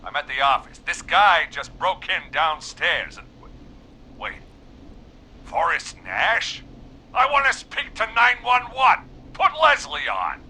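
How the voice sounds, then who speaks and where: A man's voice: on the high side, very animated, takes it slowly, pushed and bright, a little hiss or hum, exaggerated voice, rough recording. An actor playing a brash, self-important man phoning in to a radio show in a video game. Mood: agitated, insistent. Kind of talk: video game voice acting of radio phone-in callers.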